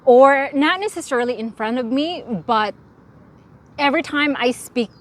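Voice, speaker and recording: A woman's voice: high-pitched, moderate pace. Earnest woman with a Filipino accent. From Philippines. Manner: earnest, frank, often restarts words. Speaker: interviewee. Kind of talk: casual interview.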